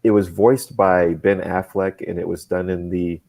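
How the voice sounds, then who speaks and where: A man speaking as a guest on a casual live show, thinking aloud with many restarts and bursts of faster talk. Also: low-pitched, vocal fry. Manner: thoughtful and rambling, many restarts, speeds up when excited. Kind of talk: casual livestream chat.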